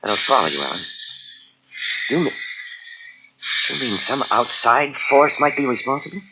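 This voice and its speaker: A man's voice: mid-range voice, very animated, moderate pace, heavy background noise. An actor playing an earnest, loyal young newspaperman in an old-time radio drama. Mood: bewildered. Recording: dull old radio recording from cassette.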